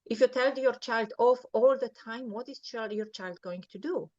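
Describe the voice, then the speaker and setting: A woman's voice: on the high side, normal speed. Earnest Polish-accented woman coach. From Poland. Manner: earnest, often checks in with "right?". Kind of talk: coaching advice in an interview. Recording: muffled lo-fi audio.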